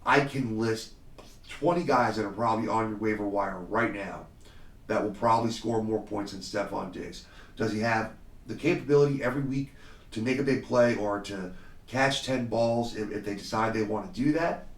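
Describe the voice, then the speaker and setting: A man hosting a solo podcast in a casual, confident way, slightly hoarse from a cold, with frequent fillers. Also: fairly low pitch, moderate pace, echoey room, recorded from a distance. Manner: confident, conversational, many fillers. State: slightly hoarse, under the weather. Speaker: podcast host. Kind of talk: solo fantasy football podcast talk.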